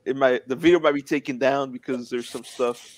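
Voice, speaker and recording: A man's voice: medium pitch, steady tone, fairly quick, no echo. A man in his twenties, a guest in a casual interview, talking in an earnest, plainspoken way. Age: 20s.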